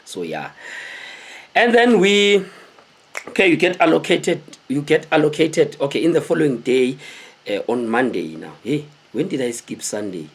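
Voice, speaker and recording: A South African man in his thirties tells stories casually to the camera in an animated, conversational way, often putting questions to his viewers. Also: fairly high pitch, normal speed, breathy edge. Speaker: host telling stories of his military service. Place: small indoor room with cloth backdrop.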